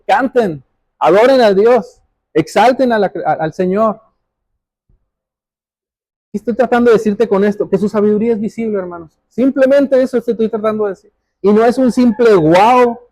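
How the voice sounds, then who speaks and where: A man's voice: sits high, a little mellow, dry recording. A man preaching a church sermon, reading scripture and exhorting, repeating phrases for emphasis.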